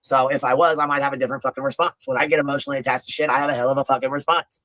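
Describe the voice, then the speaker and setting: A man with a deep voice hosting a casual livestream commentary, rambling and profane, often asking "you know what I mean.". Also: level tone, very fast, a bit bright, no room sound.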